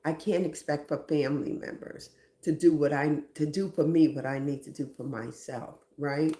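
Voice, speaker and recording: A woman's voice: low voice, steady tone, normal speed, dark tone, roomy sound. A woman hosting a live stream, giving reflective motivational talk and often asking "right?".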